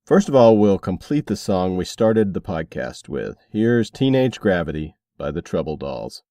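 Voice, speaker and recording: A man's voice: sits low, sing-song, moderate pace, dark tone. A man hosting a podcast in a casual, informal way, with playful asides as he promotes books and music. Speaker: podcast host.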